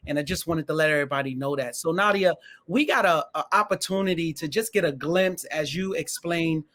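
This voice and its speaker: An enthusiastic man hosting an online interview program with a fairly high voice for a man. He keeps the energy up and leans on repeated phrases to stress his points. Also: fairly quick, a bit bright. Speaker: host.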